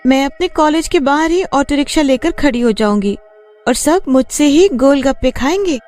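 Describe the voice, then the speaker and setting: A woman's voice: high voice, animated, normal speed, a little mellow, soft background music. A woman playing an earnest, determined college-age daughter in a cartoon story. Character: determined young college girl, grieving daughter. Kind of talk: Hindi cartoon story dubbing.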